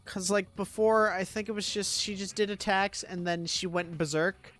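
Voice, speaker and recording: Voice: mid-range voice, steady tone, moderate pace, fairly bright tone. A video game streamer giving casual, slangy, offhand live commentary on the game being played. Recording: game music behind the voice. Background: game music.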